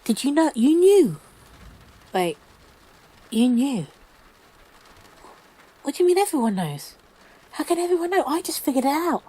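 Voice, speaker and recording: A woman playing a flustered, lovestruck girlfriend who is sick in bed, gushing and halting in an intimate audio roleplay. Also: high-pitched, very animated, dark tone. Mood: flustered amazement. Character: lovestruck, grumpy-but-soft woman sick in bed, newly smitten.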